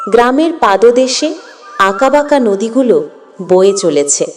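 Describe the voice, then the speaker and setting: A woman narrating a scripted story with measured, expressive phrasing. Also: a little high.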